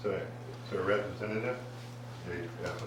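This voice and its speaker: A man's voice: low-pitched, moderate pace, background noise. A man from a car club presenting a donation at a council meeting, speaking hesitantly with many fillers. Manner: hesitant, full of fillers and restarts. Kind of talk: public remarks at a meeting. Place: council chamber. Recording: very echoey room, distant mic.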